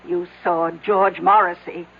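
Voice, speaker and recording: Casting: loyal female secretary, deferential, businesslike, slightly rough-edged voice, 1970s radio play, narrowband sound. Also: fairly low pitch, level tone, unhurried. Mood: worried, hesitant. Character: dutiful, worried female secretary to a tycoon. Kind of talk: 1970s radio drama acting. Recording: tinny narrowband old radio broadcast.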